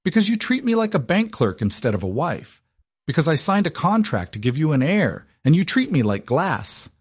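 A man voicing a guarded, cynical young noblewoman who talks briskly and erupts in fury. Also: fairly low pitch, animated, darkish tone, slightly rough. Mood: furious. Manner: brisk and clipped, breaking into furious outbursts.

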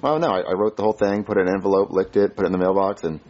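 A man voicing a naive, excitable schoolboy who babbles earnestly and bluntly in a comedy skit. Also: low voice, racing, muffled recording, soft background music. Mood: earnest babbling.

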